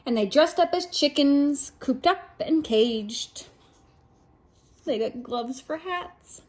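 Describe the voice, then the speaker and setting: A woman's voice: sits high, animated, slow, darkish tone. A woman reading a picture book aloud at story time, expressively, with little asides to a small child.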